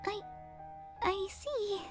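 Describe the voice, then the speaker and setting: A woman's voice: very high voice, drawn-out words, music in the background, full character voice. A woman voicing a timid, polite teenage schoolgirl in a visual novel game, hesitant and apologetic.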